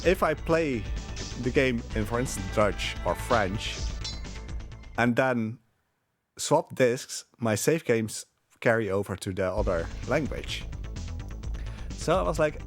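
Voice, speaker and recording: A man giving casual live commentary as a speedrunner, talking in a rambling, halting way. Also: medium pitch, lively intonation. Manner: rambling, halting, chuckling asides. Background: game music.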